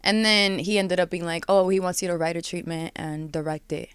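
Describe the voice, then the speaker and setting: A woman in her twenties from Miami, chatting casually as an interview guest, relaxed and laughing, with many filler words. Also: lowish voice, brisk, clear, resonant tone, dry recording. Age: 20s. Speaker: guest artist.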